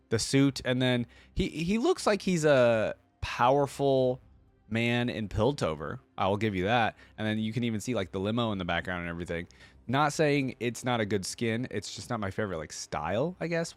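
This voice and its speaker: A man's voice: mid-range voice, no room sound. A man hosting a reaction video, giving informal, exclamatory commentary as he thinks aloud.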